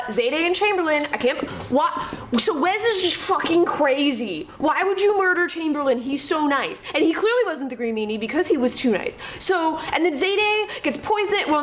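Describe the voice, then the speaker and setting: A woman's voice: sits high, fairly bright tone. A woman co-hosting a horror show, chatting casually and informally with opinions, peppering her talk with "like". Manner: gushy, informal, frequent 'like'. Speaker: co-host of a horror news show. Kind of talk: casual chat, giving opinions. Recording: roomy echo, faint background noise.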